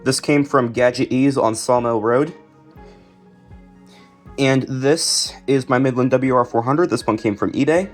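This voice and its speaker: An American man narrates a collection in a casual show-and-tell way. His delivery is unscripted and halting, often trailing off mid-thought. His pitch sits in an ordinary male range, with some music behind him. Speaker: video creator showing a collection.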